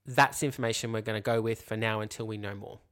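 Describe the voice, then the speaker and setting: A man's voice: lowish voice, fairly even intonation, fast, fairly bright tone, clean tone. A man co-hosting a casual, reflective chat, explaining things in a hedging way full of filler phrases. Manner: hedging, explanatory, frequent 'you know' and 'like'. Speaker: podcast co-host.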